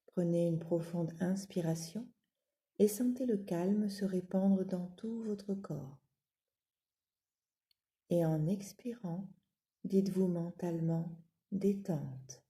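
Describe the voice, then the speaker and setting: Woman doing guided meditation, super soft dark mellow voice, kinda low, calm w long pauses. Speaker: yoga nidra relaxation guide. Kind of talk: guided relaxation meditation, spoken instructions.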